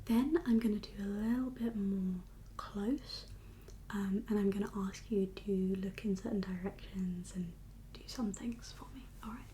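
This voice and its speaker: A woman speaking in a soft, breathy whisper with a calm, reassuring, unhurried manner, as a doctor in an ASMR medical roleplay. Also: mid-range voice, slight background noise. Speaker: ASMR performer playing a doctor.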